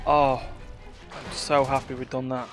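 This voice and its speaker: A man's voice: mid-range voice, little pitch movement, unhurried. A man giving live gameplay commentary, talking to himself and reacting as he plays. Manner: muttering to himself and reacting to play. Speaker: game streamer. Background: game music.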